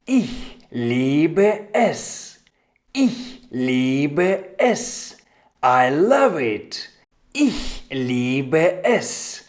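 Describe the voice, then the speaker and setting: A man giving a scripted language lesson as a teacher, deliberately repeating short example phrases in an instructional way. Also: medium pitch.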